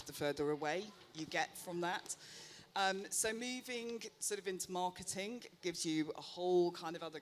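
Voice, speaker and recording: A woman executive speaking on a conference panel, chatty and self-deprecating, with frequent ums. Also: low voice. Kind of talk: live panel discussion. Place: conference stage. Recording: faint noise, live event ambience.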